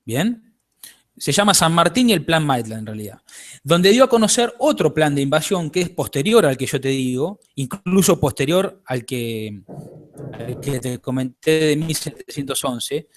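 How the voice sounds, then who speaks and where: A man's voice: fairly high pitch, expressive, moderate pace. A man with an Argentine accent, a guest author in an interview, explaining at length and checking in with his listener. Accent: Argentine Spanish. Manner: explanatory, lecturing, checks in with "¿bien?".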